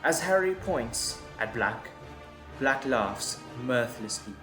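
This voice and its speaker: Slow-paced male story narrator, roomy sound. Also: medium pitch, animated. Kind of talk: scripted dramatic narration. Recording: roomy echo, background music.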